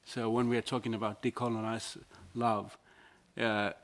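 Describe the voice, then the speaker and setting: A man's voice: lowish voice, flat delivery, normal speed. A man from Finland speaking as a panelist in a plain, explanatory way. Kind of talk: panel discussion.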